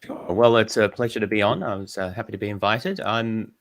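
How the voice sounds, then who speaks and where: A young Australian man with a lowish voice, recorded close and dry with no room sound. He speaks modestly and haltingly as a guest introducing himself on a livestream panel. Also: steady tone, no echo. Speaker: guest, doctoral student.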